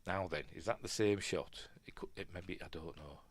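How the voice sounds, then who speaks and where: A man with a Yorkshire accent hosting a casual film commentary, rambling and musing aloud, often second-guessing himself and trailing off quietly. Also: deep voice, moderate pace, no echo, studio quality. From Yorkshire, England. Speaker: film review host.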